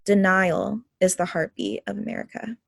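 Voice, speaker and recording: Woman with a gravelly voice reading aloud. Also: mid-range voice, steady tone, normal speed, no room sound, studio quality. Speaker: reader at a group reading.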